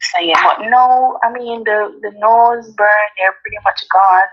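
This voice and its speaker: A woman's voice: medium pitch, measured pace, lo-fi sound. A woman from Jamaica with a Jamaican accent, a guest chatting casually in an interview, talking easily with many ums and you-knows.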